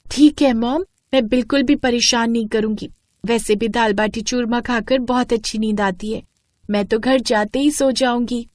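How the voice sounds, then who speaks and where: A woman's voice: fairly high pitch, steady tone, normal speed, a little mellow, no echo, studio quality, natural voice. A woman voicing a sweet, obedient little girl who pleads with her mother in a children's story.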